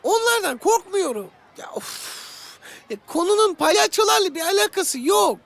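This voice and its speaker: A woman voicing a stubborn, excitable child in a children's cartoon who is scared but bluffs bravery. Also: extremely high pitch, moderate pace, gravelly, heavily acted. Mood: defensive, bluffing. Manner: defensive, excitable. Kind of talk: animated children's cartoon acting.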